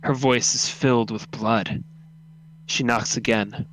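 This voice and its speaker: A man's voice: mid-range voice, fairly even intonation, slow pace, fairly bright tone, slight background noise, low-quality recording. A man narrating a second-person horror story as a live radio drama read-through.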